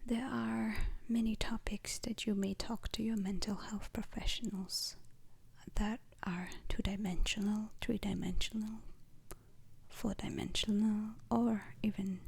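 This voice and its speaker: A woman whispering an ASMR roleplay as a calm, formal instructor, deliberate and pausing between short phrases. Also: mid-range voice. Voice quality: breathy, unvoiced whisper. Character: calm, formal instructor briefing space crew trainees.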